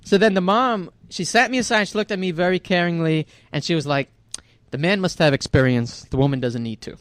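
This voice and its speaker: A man's voice: sits high, fairly quick, fairly bright tone, no echo. Earnest, reflective young man. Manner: reflective, earnest, laughs easily. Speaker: podcast co-host. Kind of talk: casual chat.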